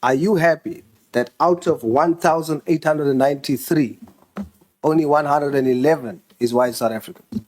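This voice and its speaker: A man's voice: a little high, normal speed, a little mellow. Man, member of parliament, pressing questions, insistent tone, committee hearing.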